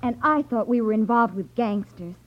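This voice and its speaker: Sassy young sidekick , female actor, vintage radio drama: high voice, mock-gushing sarcasm, dull old-broadcast sound. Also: expressive, normal speed, slight background noise, close to an everyday voice. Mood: mock wonder. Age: young adult. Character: sassy, quick-witted young woman sidekick. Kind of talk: 1950s radio drama acting. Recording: muffled vintage radio recording.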